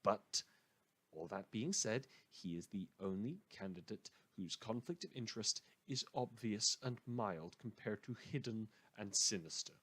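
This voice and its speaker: Man acting as a husky, animated, sardonic radio host. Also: on the low side, unhurried, exaggerated voice. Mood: dry, scornful. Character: sardonic, melodramatic radio show host, opinionated, brooding man. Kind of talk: scripted satirical radio monologue in a fan audio drama.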